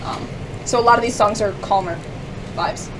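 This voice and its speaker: Young woman chatting, noisy distant recording. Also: medium pitch. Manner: halting, rambling, frequent "like". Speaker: radio show guest. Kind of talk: casual chat about music.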